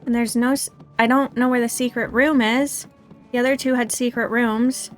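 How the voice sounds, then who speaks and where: A woman's voice: on the high side, steady tone, moderate pace, faint music behind. A woman hosting a casual game livestream, chatting and thinking aloud in a self-deprecating way. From United States. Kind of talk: casual livestream chat and gameplay commentary.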